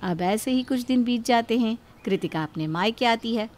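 A woman's voice: a little high, animated, normal speed, darkish tone. A woman narrator telling a cartoon story in scripted storytelling style.

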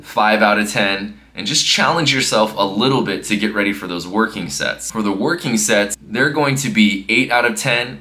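A man's voice: fairly low pitch, bright, forceful tone. A man in his thirties giving casual workout instruction in an upbeat coaching tone, from a living room and then a gym. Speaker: fitness vlogger.

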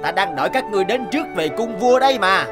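A high, cheeky cartoon clown. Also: male voice, expressive, fast talker, music in the background. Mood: cocky. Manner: mocking, theatrical. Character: brash, cheeky court clown, bold and free-spirited. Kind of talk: animated fairy-tale dialogue.

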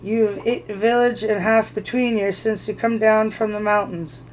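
A storyteller voicing a gruff male troll who grumbles, drunk on beer. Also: medium pitch, little pitch movement, moderate pace, slight background noise, close to an everyday voice, poor audio. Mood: grumbling.

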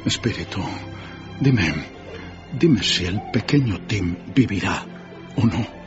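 Radio drama old miser: slow, anguished, pleading, very expressive, dull sound over music. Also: male voice, fairly low pitch. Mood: anxious. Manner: anguished, imploring. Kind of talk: Spanish radio drama acting.

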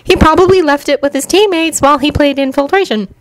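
Woman playing a high, pert teenage ninja girl. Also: fast talker, no echo, lightly acted. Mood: matter-of-fact. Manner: pert, sarcastic. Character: bossy, sharp-tongued teenage ninja girl. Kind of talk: fan fiction story reading with character voices.

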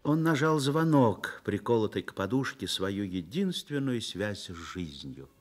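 A man narrating a Soviet radio drama as an earnest literary storyteller, expressive and measured, swelling and dropping to a hush. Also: fairly low pitch. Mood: earnest, reflective.

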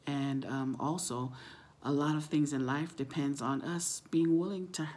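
Middle aged lady vlogging on her phone at home, really deep raspy voice, chatty storytelling. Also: fairly even intonation, unhurried, a little mellow. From Samoan, living in California. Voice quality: husky, gravelly. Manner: chatty, acts out quoted dialogue. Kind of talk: casual storytelling to camera. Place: small room at home, indoors. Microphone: phone at arm's length.

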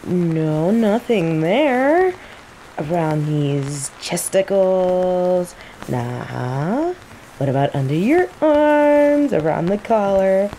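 A woman's voice: on the low side, very slow, heavily acted, poor audio. A woman playing a teasing rival mage who interrogates a captive with casual, coaxing menace in an audio roleplay. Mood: casual, searching. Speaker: voice actor in scripted audio roleplay. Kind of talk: intimate scripted audio roleplay acting. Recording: steady rain noise behind the voice. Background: rain.

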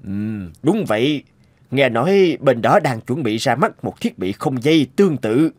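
A man playing a kindly older company chairman in a cartoon, measured and encouraging. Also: medium pitch, sing-song, darkish tone, breathy edge, no echo. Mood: admiring. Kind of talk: Vietnamese cartoon dub acting. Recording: music under the dialogue.

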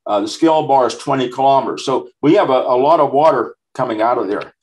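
An older man, in his sixties or beyond, with a mid-pitched voice, talks through slides as a lecturer. He explains things simply, in short phrases that stop and start. He sounds like he is presenting from a computer at home. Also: fast talker, poor audio. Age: 60s or older.

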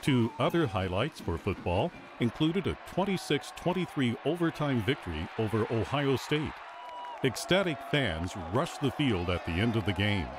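A man's voice: medium pitch, very animated, moderate pace, crowd noise, noisy background. A man with an American accent reading a scripted newsreel narration. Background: music bed.

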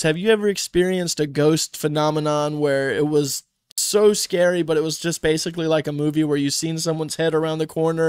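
A man's voice: on the high side, a little mellow, clear, resonant tone, no room sound. A young man hosting a casual reaction show from a home studio, sounding congested from being sick, chatty and skeptical. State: congested from illness. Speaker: host reacting to creepy videos. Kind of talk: casual commentary. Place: home streaming room. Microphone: studio mic on boom arm.

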